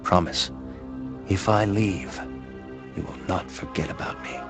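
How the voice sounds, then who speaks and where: A man's voice: sits low, a little slow. An actor playing a lovesick, devoted man in a video game, speaking in an earnest, formal, pleading manner. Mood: yearning. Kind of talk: video game cutscene acting. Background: music.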